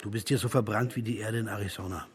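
An actor playing a blunt film-world colleague and go-between in a German radio play. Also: male voice, fairly low pitch, steady tone, fast talker, a faint crowd, lightly acted. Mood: blunt, resigned.

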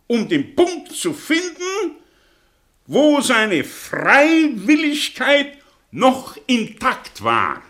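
A cynical male intellectual holds forth in in a radio drama. His delivery is slow and deliberate, swinging dramatically up and down. He sounds bitter and sardonic, probing his listener with rhetorical questions. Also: sits high, pushed and bright, exaggerated voice. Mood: emphatic, lecturing. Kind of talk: German radio play acting.

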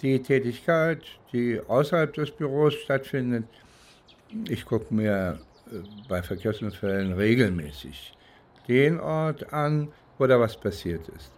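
A middle-aged man reflecting as a private detective in an unscripted-sounding monologue, searching for words in a deliberate, hesitant way. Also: medium pitch, expressive, a little mellow. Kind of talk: reflective documentary-style monologue.

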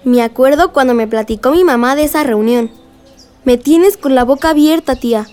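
An actress plays a wide-eyed niece in a radio drama. Her voice is high yet mellow, full of admiration and wistful exclamation. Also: moderate pace, natural voice. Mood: amazed, wistful.

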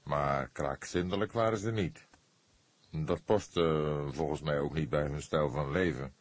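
A man voicing an old, weary police detective, husky, thoughtful and deliberate in his speech. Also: rumbling low, pitched below the performer's own voice. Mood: measured. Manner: hesitant, deliberate, measured.

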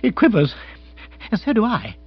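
A man's voice: sits high, sing-song, normal speed, breathy edge, muffled recording, voice pushed up, full character voice. A man voicing an agitated, petulant young English lord in a comic storybook, flustered and exclamatory in upper-class slang. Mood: agitated, panicky.

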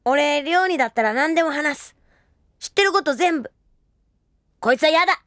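High-pitched defiant boy, surly, rough-spoken, drama cd role, voiced by a woman, dry close sound. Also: lively intonation, moderate pace, a bit bright, no room sound. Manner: curt rough boyish speech. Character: defiant street-tough young boy. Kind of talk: anime drama CD acting.

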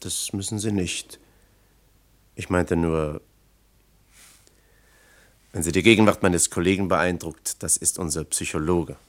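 An actor playing an earnest, cautiously discouraging male official in a radio play, with a measured, formal, probing manner. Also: sits low, steady tone. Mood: explaining, conciliatory. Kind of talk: German radio play acting.

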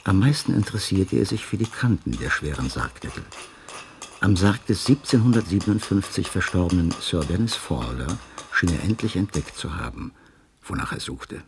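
A detective's loyal companion and chronicler in a radio drama. He has a deep voice with lively melody and a composed storyteller's delivery, with faint noise behind. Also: normal speed. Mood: composed, suspenseful narration. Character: detective's loyal, puzzled gentleman companion, narrating memoirs. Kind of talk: radio play acting and scripted narration.

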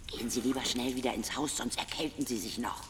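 An actress playing a stubborn, fearless old piano teacher in a radio drama, brisk and dismissive. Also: female voice, rumbling low, fairly even intonation. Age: older. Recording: distant, reverberant, noisy scene.

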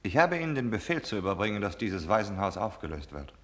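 Military messenger for radio play: brisk, clipped, formal, lowish voice. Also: male voice, big pitch swings, fairly bright tone. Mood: curt, official. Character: curt military messenger delivering orders.

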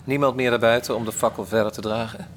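An actor playing a skeptical prisoner who asks dry, needling questions in a colloquial way in a radio play. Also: male voice, medium pitch, fairly even intonation, quick pace, lightly acted. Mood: probing. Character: probing, skeptical male fellow prisoner, drily needling. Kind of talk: Dutch radio play acting. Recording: faintly noisy radio drama recording.

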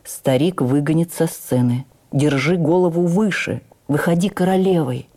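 Radio play role: kindly male actor played by a woman, low, hushed, gruffly caring, slow prompts from the wings. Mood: hushed, urging.

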